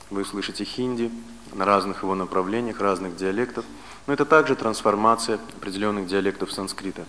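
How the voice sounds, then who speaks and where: A man in his late thirties giving a philosophy lecture, speaking in a measured way with pauses and restarts. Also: on the low side, a bit bright, big-room sound, slight background noise. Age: 30s.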